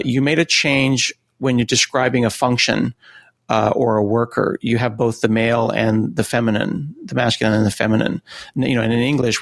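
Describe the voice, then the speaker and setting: An American man with a gravelly, creaky voice at a fairly low pitch hosts a casual interview. He is inquisitive and reflective as he frames his questions. The recording is very dry. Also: no room sound. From United States. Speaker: podcast host, interviewer.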